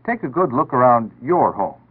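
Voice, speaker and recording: American male announcer, 1960s civil defense film style. Measured, instructive, animated intonation, narrow phone-like vintage sound. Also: medium pitch, slightly rough. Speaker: public service announcer. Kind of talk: 1960s civil defense PSA scripted narration. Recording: tinny band-limited 1960s broadcast audio.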